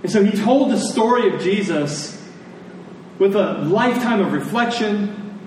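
High-voiced American preacher in an echoey hall. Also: male voice, expressive. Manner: conversational, rhetorical questions, short deliberate phrases. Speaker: pastor preaching. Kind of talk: sermon. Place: large church meeting room with congregation. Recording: echoey hall, distant mic, faint noise.

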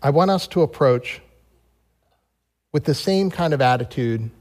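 A man's voice: a little high, lively intonation, measured pace, a little mellow. American male preacher in a reverberant hall. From United States. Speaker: pastor preaching to a congregation. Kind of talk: sermon with scripture reading and personal storytelling. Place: large reverberant room, speaking to a congregation.

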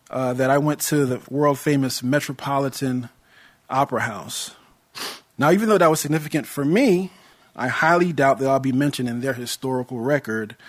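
A young American man preaching a sermon in a measured, earnest way, with touches of light humor. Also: medium pitch. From United States.

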